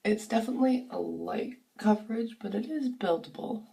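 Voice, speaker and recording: A woman's voice: medium pitch, moderate pace, creaky, dark tone. A woman with a groggy, sore-throated voice, chatting casually through a makeup review in her bathroom, rambling and sometimes dropping to near-whispers. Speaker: beauty vlogger. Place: home bathroom. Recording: voice a bit far from the mic.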